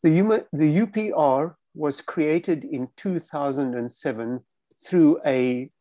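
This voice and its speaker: Slow, mellow older South African man. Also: fairly high pitch, no room sound. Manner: slow, careful, emphatic repetition. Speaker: presenter. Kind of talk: webinar presentation.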